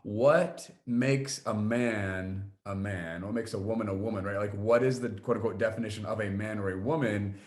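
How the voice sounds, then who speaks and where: A man's voice: lowish voice, fairly even intonation, normal speed, hardly any pauses. A man hosting an interview series, giving introductions and commentary in a conversational presenting style. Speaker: interviewer, host.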